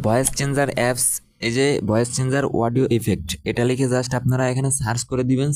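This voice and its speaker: A man's voice: medium pitch, darkish tone, no echo. A man narrating an app tutorial, addressing viewers in a repetitive, instructional way. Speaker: tutorial presenter.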